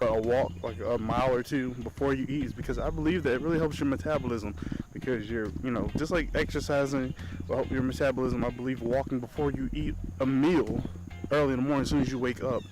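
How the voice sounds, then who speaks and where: A young man talking casually and informally to camera as a fitness vlogger, rambling as he addresses his viewers. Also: medium pitch. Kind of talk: casual talk to camera, vlog. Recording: noisy amateur vlog audio.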